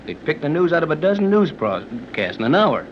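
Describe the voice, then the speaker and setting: A breezy American man of action, shrewd and folksy. His delivery is fast and swings widely in pitch, in old 1930s serial style on a muffled recording. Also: big pitch swings. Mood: shrewd, concerned. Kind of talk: 1930s radio serial acting. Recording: dull, noisy old 1930s radio recording.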